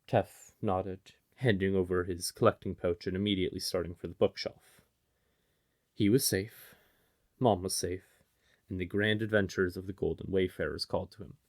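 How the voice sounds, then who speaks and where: A man narrating a scripted fantasy story in a measured, dramatic way. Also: low-pitched, steady tone, darkish tone.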